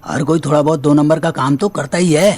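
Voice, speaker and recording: A man acting a stern, authoritative middle-aged father with an Indian accent in an audio drama, measured and formally polite. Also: a little high, darkish tone.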